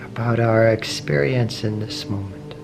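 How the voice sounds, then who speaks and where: A man leading a guided meditation in a gentle, measured way, pausing often between short phrases. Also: lowish voice, creaky. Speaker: meditation teacher. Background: soft meditation music.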